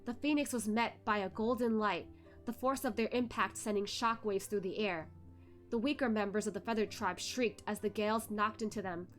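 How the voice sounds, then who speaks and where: Woman dramatically narrating over faint music. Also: medium pitch. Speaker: streamer reading a web novel aloud.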